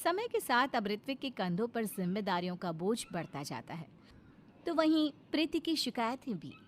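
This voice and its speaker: A woman's voice: a little high, big pitch swings, moderate pace, slight background noise. A woman reading scripted narration for a cartoon story.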